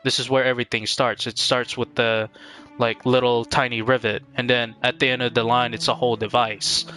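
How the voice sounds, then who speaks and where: Clear, bright-voiced man streaming, rambling casually. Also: mid-range voice, flat delivery, low-quality recording. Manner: halting, rambling, repeats words. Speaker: game streamer. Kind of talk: casual live-stream commentary.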